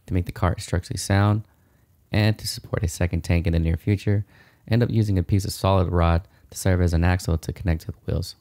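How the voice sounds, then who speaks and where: A man in his thirties narrates a DIY how-to casually. His voice is very deep and mellow, and the sound is dry and close. He explains things in an informal way. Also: fairly even intonation, fairly quick, no room sound. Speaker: DIY video host.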